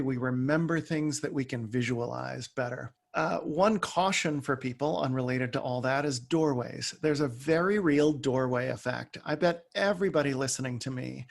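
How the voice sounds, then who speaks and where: A man's voice: a little high, vocal fry. A man speaking as an expert podcast guest, explaining things in a conversational way with everyday examples.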